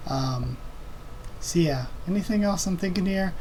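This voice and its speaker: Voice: medium pitch, lively intonation, unhurried, a little mellow, slight background noise, low-quality recording. Rambling, chatty manga read-through host. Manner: rambling, many fillers and self-corrections. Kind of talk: casual solo read-through commentary. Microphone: desk microphone.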